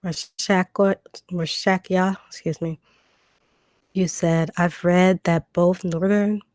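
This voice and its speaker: Hoarse woman chatting on a livestream. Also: fairly low pitch, no room sound, clean studio sound. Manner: conversational, reads comments aloud and answers them, frequent ums. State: hoarse from a cold. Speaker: livestream host answering viewer comments. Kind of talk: casual live stream chat.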